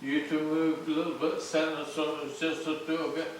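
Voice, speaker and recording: A man directing a stage play, giving halting, instructive notes to actors, recorded from a distance in an echoey room. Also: fairly high pitch, flat delivery. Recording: distant mic, echoey room, faint noise.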